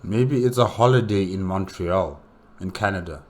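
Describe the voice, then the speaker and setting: A man giving casual, off-the-cuff reaction commentary in a musing, halting way with repeats. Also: low voice, darkish tone, a little hiss or hum. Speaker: reaction video host.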